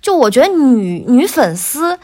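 A woman's voice: high-pitched, very animated, measured pace, dark tone. A woman speaking casually as a voice actor guest on a podcast, explaining things and often rephrasing herself as she goes.

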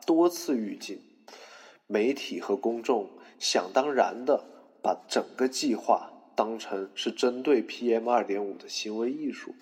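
Reverb rings around a low-pitched actor playing a male art critic in a courtroom drama. He is stern and dismissive, delivering his argument in formal, scholarly phrasing. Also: a little mellow. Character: stern, pedantic art critic testifying in mock trial. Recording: reverberant hall.

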